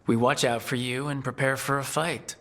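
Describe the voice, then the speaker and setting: A man playing a steady, protective, gently teasing hero in a fantasy video game, speaking conversationally. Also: medium pitch, moderate pace. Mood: reassuring. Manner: reassuring, conversational. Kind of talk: naturalistic video game acting.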